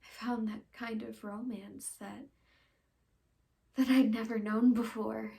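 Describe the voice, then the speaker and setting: A woman acting an earnest, conflicted young woman in a halting, pleading stage monologue of confession and farewell. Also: a little high, level tone, gravelly. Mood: wistful, confiding. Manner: halting, pleading, with long pauses and hushed phrases.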